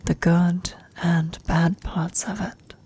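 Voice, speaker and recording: A woman acting a frail, dying old adventurer in an intimate ASMR roleplay, speaking tenderly and haltingly in a breathy, husky whisper. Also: on the low side, flat delivery, dark tone, exaggerated voice. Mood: reflective, earnest. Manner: halting, tender, reflective, long pauses.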